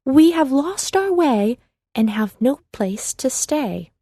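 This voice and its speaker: Little storybook girl, voiced by a female narrator: earnest and pleading, raised pitch, soft tone. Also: very animated, slow pace, no echo, clean studio sound, heavily acted.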